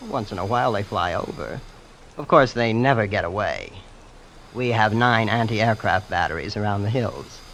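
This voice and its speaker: An actor playing a breezy, immensely rich young heir who is casually ruthless, boasting in a nonchalant, understated way in an old-time radio drama. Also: male voice, lowish voice, lively intonation, moderate pace, a bit bright, poor audio. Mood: smugly casual. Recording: old radio broadcast with clear noise.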